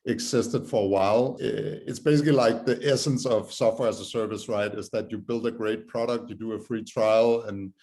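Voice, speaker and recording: A man's voice: lowish voice, fairly even intonation, normal speed, few pauses, darkish tone. A man with a Danish accent giving informal, explanatory answers as a guest in a conversational interview. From Denmark. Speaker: podcast guest, startup co-founder.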